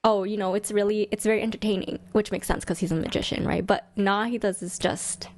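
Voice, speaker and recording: A woman streamer giving casual, chatty fan commentary to her live chat in an expressive, slangy way. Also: mid-range voice, a little fast, no room sound, studio quality. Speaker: streamer hosting a ranking video.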